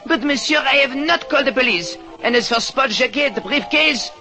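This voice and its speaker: An actor playing a vain, florid little artist with a French accent in an old radio drama. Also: male voice, very high voice, normal speed, pushed and bright, soft background music, exaggerated voice. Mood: distressed. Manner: florid, formal, self-important. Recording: noisy vintage radio recording.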